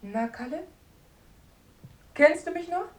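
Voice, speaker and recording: An actress playing a friendly, eager social worker who coaxes a child in a radio drama. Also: female voice, extremely high pitch, expressive, slow pace, heavily acted. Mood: eagerly friendly. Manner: coaxing, talking down to a child. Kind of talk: 1970s German radio play acting.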